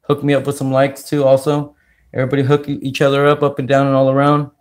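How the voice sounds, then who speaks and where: A man from California hosting a casual livestream, talking slowly with many fillers as he greets viewers. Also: mid-range voice, completely flat delivery. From California, United States. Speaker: livestream host. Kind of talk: casual livestream chat while sorting coins.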